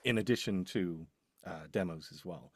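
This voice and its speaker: A man's voice: low-pitched, normal speed, husky, dry recording. A man chatting casually and explaining his work, hesitant and rambling as he thinks aloud. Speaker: voice-over demo producer.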